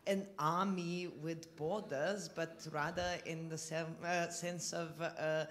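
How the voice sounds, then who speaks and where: Slow, hesitant man asking a question. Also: on the high side, level tone, fairly bright tone, slight background noise. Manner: hesitant, full of long pauses. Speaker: audience member asking a question. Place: conference hall.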